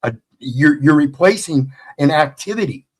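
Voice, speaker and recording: A man speaks bluntly and emphatically on a discussion panel, with a preachy edge. His pitch sits a little above the usual for a man. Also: lively intonation, moderate pace, husky. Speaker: panel guest. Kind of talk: casual panel talk.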